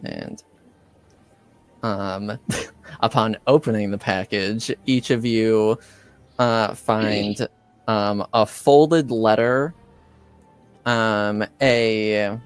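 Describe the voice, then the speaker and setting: A man running a tabletop roleplaying game as game master, narrating in a casual, halting way. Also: lowish voice, smooth. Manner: halting, hesitant, casual delivery. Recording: music behind the voice. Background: music.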